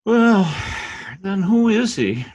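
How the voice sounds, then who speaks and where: A man's voice: high voice, sing-song, slow, a little mellow, dull audio, exaggerated voice. A man playing a pompous, scheming Latin diplomat in old-time radio drama style, theatrical in manner. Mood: offhand, irritated.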